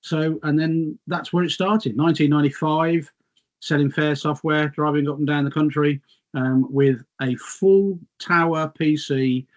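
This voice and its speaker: A man's voice: on the high side, steady tone, measured pace, muffled recording. A middle-aged English man, a guest, slightly losing his voice, reminiscing in a rambling, self-mocking way. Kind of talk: casual reminiscing chat.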